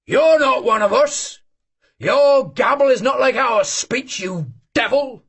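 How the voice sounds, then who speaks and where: Guy doing a loud old cossack hunter, shouty and teasing, husky high voice. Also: takes it slowly, exaggerated voice. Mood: mocking.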